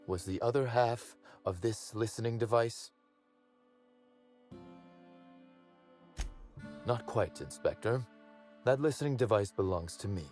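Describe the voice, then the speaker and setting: An actor playing a polished underworld boss in a video game, speaking formally and articulately with wry menace. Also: male voice, fairly low pitch, darkish tone, natural voice. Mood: wary, measured. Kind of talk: video game character dialogue.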